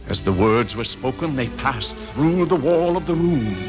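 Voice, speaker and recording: A man's voice: mid-range voice, expressive, a little slow, lightly acted. A man playing the narrator of an old-time radio drama, formal and dramatic in a storybook way. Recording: old radio production, loud music behind.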